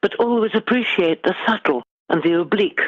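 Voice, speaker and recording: A middle-aged British woman, an author giving measured, formally phrased answers in a literary interview. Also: mid-range voice, no room sound, highs cut off. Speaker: guest author.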